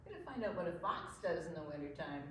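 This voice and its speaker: An older woman co-hosting a children's storytime, chatting playfully and reading picture books aloud in an unhurried way. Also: fairly low pitch, animated, a bit bright, a little hiss or hum. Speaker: children's storytime co-host and book reader.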